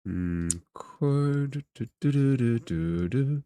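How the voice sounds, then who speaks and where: A man acts as game master, improvising narration and explaining the world to players. His voice sits at an average pitch and is recorded very dry, with no room around it. The delivery is conversational. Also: lively intonation, slow, warm tone, clean tone, dry recording.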